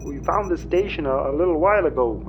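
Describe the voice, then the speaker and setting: An actor playing an awkward, evasive, hesitant stranger who stammers, in a sci-fi audio comedy. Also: male voice, fairly high pitch, lively intonation, fast talker, faint music behind, low-quality recording. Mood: nervous, evasive. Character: awkward, evasive stranger offering a suspicious job.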